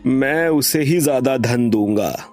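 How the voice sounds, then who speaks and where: A man's voice: medium pitch, a little slow, darkish tone, faint music behind, natural voice. A man voicing a worried, earnest elderly father of grown sons in a moral story, pleading and admonishing. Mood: earnest, firm. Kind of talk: acted moral story dialogue.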